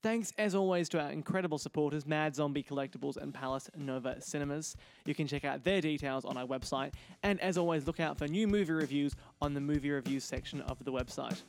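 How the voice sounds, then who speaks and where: A man's voice: fairly high pitch, fairly quick, hardly any pauses, soft background music. An Australian man hosting a podcast in a conversational interview style. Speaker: podcast host.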